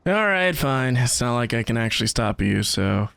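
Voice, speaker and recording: A man's voice: mid-range voice, expressive, moderate pace, gravelly, a bit bright, no echo. A man giving casual, informal reaction commentary as he plays a video game. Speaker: gaming streamer.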